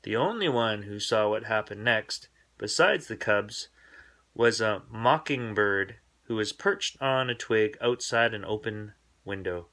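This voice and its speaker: A man reading a children's bedtime story aloud in an expressive, dramatic storybook narration style. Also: lowish voice, unhurried.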